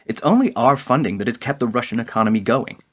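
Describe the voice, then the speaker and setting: A senior government adviser in a radio drama, played by a man, with a medium-pitched voice. He is blunt and earnest, and argues his point with conviction. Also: lively intonation, fast talker, close to an everyday voice. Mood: frank, deferential. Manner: earnest, argumentative. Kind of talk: radio play acting.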